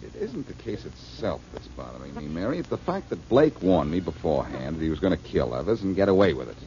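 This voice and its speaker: A man's voice: low voice, big pitch swings, fast talker, warm tone. An actor playing a wry, brooding male detective in an old radio drama. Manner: dry, wry. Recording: dull old radio broadcast with hiss.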